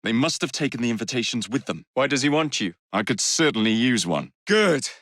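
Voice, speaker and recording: A young Victorian London gang rogue for a video game, with an English accent. His pitch jumps about in a lively way as he teases and gives brisk orders. The delivery is brash and playful, recorded completely dry. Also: no echo. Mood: businesslike, wry. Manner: cheeky, teasing, theatrical.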